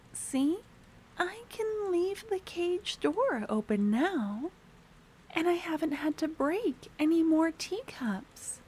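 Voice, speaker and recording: Woman as a slow, cooing, possessive yandere merchant. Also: high-pitched, warm tone, pitched above the performer's own voice, heavily acted. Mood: doting, cooing. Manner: doting, cooing, breathy half-whispers. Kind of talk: ASMR fantasy roleplay.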